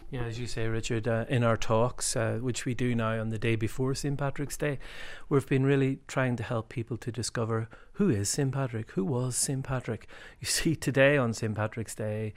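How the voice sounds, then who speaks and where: A man from Derry with a Northern Irish accent, an archbishop speaking thoughtfully with frequent pauses and fillers. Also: mid-range voice, steady tone. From Derry, Northern Ireland. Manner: thoughtful, hesitant with many fillers. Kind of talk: conversational joint address.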